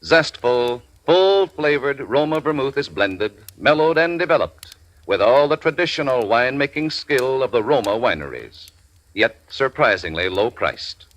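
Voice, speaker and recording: A man reading a 1940s radio commercial in a smooth, persuasive way. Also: mid-range voice, animated, normal speed, a bit bright. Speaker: commercial announcer.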